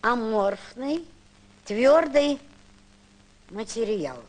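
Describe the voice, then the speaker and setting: Very slow, musing elderly grandmother. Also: on the high side, expressive. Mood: musing, puzzled. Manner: slow, halting, musing aloud. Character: elderly grandmother puzzling over crossword clues. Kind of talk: comic acting in children's educational newsreel. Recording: noisy old Soviet film soundtrack.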